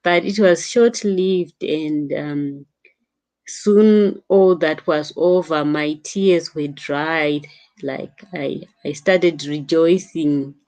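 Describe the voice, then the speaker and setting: A woman's voice: low voice, very slow, a little mellow, rough recording. A young woman from Africa chatting casually on a late-night live stream, reading viewer comments and calling them sister, laughing often. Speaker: vlogger.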